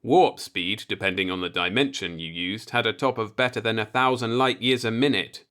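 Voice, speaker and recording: A man's voice: lowish voice, normal speed, sharp tone, smooth. Man narrating an audiobook story. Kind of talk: scripted story narration with acted dialogue.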